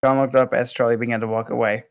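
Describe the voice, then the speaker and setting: A man narrating stage directions at an amateur table read, reading haltingly with small stumbles. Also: medium pitch, level tone, no room sound, clean studio sound.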